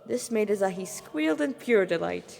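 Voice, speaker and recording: A woman's voice: medium pitch, lively intonation, normal speed, creaky, a little mellow. A woman narrating a fan fiction fantasy story, reading it aloud as its narrator. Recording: reverberant hall-like room sound.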